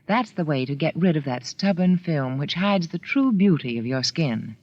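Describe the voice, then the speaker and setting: Poised woman beauty expert, very animated intonation, instructive, 1940s radio advert, dull dry recording. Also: deep voice, no echo. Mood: persuasive, instructive. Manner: deliberate, instructive, persuasive. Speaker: beauty authority and advertising spokeswoman. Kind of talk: 1940s radio commercial delivery.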